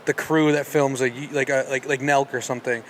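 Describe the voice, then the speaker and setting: A young man hosting a casual podcast chat, quick and emphatic with slangy phrasing. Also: mid-range voice, little pitch movement, a bit bright, a little hiss or hum.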